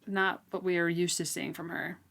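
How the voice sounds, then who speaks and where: A woman with a slightly low voice talks in a loose, conversational way as a podcast co-host. She discusses a book informally, dropping "like" often as she goes. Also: little pitch movement, normal speed, vocal fry, fairly bright tone. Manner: informal, frequent "like" as filler. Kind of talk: casual book discussion chat.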